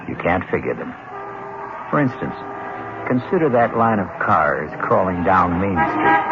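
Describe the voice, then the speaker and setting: A man hosting and narrating a 1970s radio mystery drama in a measured, ominous manner. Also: fairly low pitch. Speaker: host and narrator. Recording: dull old radio broadcast with loud music.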